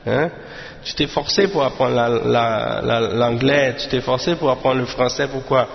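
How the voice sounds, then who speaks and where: A man's voice: medium pitch, steady tone, normal speed, vocal fry, big-room sound, highs cut off, a little hiss or hum. A man giving an informal religious lesson. He talks hesitantly, restarting his sentences and dropping in Arabic filler words. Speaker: religious teacher.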